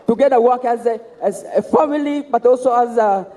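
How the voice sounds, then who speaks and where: This is a man asking party delegates for their vote. He leans on repeated slogans. His voice is very high for a man, yet mellow and dark in tone. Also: fairly quick. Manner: repetitive rallying slogans. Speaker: candidate for party office. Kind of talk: campaign speech at a party conference.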